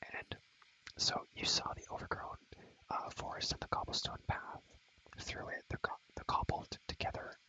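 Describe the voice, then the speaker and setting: A young man whispering casual, rambling ASMR gameplay commentary, full of slang and repeated phrases, in a breathy, unvoiced voice. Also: moderate pace, pushed and bright, low-quality recording. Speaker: ASMR gaming video creator.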